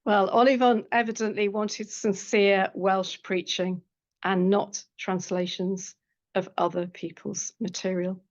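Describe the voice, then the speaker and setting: A woman historian reading out a prepared seminar paper in a measured way, with dry asides. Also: medium pitch, little pitch movement, lo-fi sound. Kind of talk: academic lecture read from a prepared paper. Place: lecture room at a college.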